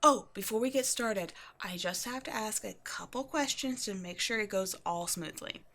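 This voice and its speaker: A woman's voice: medium pitch, normal speed, pushed and bright. A woman playing an upbeat, quirky shop owner in an ASMR roleplay, talking casually and breezily, offhand about eerie things. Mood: casual, businesslike. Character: upbeat, quirky young woman running a mysterious occult shop. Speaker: shop owner character speaking to a new employee. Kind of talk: ASMR roleplay, casual one-sided conversation.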